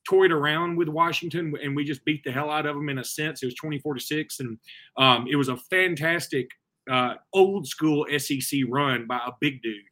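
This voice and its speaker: Guy hosting a sports talk show, bright voice, kinda high, chatty and opinionated.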